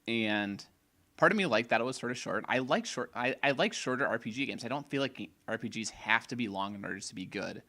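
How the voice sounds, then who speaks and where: Slightly hoarse man rambling through a review. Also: mid-range voice, expressive, brisk, pushed and bright. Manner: opinionated, rambling reviewer with restarts. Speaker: podcast co-host. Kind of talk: casual gaming podcast chat.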